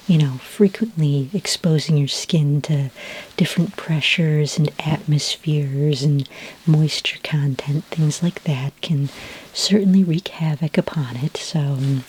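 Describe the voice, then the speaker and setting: A woman playing a patient, reassuring sci-fi skincare consultant in a soft-spoken, half-whispered ASMR roleplay, gentle and a little hesitant. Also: sits low, slight background noise, low-quality recording. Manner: gentle, hesitant, unhurried, polite questioning.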